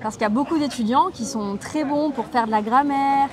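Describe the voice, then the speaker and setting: A woman in her twenties from Toulouse, a French teacher speaking as a guest in a relaxed interview, explaining in a clear, measured way. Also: high-pitched, crowd noise. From Toulouse, southwest France. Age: 20s. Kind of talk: casual conversational interview.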